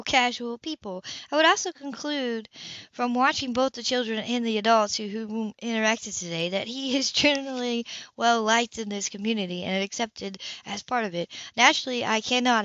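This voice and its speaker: A woman's voice: fairly high pitch, fairly bright tone, no room sound. A woman reading a novel aloud as an amateur narrator, stumbling now and then and correcting herself. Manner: halting, misreads and corrects herself. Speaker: amateur audiobook reader. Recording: muffled lo-fi home recording.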